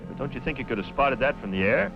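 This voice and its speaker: An actor playing a plain-spoken, dutiful country sheriff in an old-time western radio drama, on a muffled recording with music. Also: male voice, medium pitch, lively intonation, fast talker, vocal fry. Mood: businesslike.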